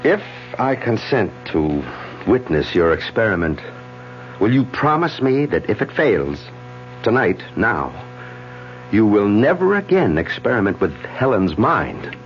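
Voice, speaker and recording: Doubting doc stammering, lively mid voice, dull old radio with music. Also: male voice, lowish voice, a little slow, a little hiss or hum. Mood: skeptical. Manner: stammering, incredulous. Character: skeptical male doctor, anxious and stammering. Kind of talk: 1970s radio drama acting.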